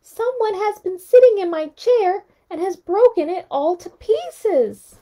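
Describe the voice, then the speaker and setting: A woman voicing a tiny, upset young male bear cub in a storybook read-aloud, speaking high and plaintive. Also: lively intonation, measured pace, mellow.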